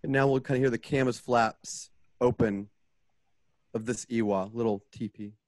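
A man's voice: mid-range voice, fairly even intonation, normal speed, dry recording, clean studio sound. A man narrating stage directions for a radio play in a casual, explanatory style.

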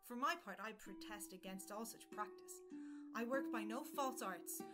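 A woman playing a bold, eloquent gallant in an early modern audio drama, wooing in measured, theatrical, courtly speech. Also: medium pitch, over music, lightly acted. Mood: persuasive, scornful.

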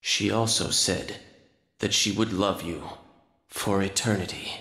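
A man voicing a brooding, noble half-vampire prince in a video game, speaking in a grave, formal, measured way. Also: deep voice, fairly bright tone. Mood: solemn. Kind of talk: dramatic video game voice acting. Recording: echoing game audio.

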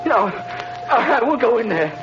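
A man's voice: very high-pitched, sing-song, unhurried, background noise, heavily acted. An actor playing a terrified young condemned man in a 1930s radio drama, pleading and breathless. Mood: panicked. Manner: breathless, pleading, on the edge of panic. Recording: muffled old radio broadcast.

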